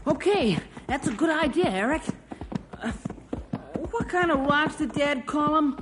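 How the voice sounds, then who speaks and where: A woman's voice: high voice, unhurried. An eager young boy. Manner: eager, excitable boyish chatter. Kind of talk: 1950s radio drama acting. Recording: old radio recording with faint hiss.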